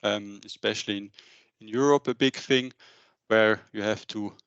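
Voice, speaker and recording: A man in his thirties giving a semi-scripted business webinar presentation into a headset, with a halting delivery. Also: lowish voice, steady tone, fairly bright tone, clean tone, no echo, highs cut off. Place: indoor room, on a video call.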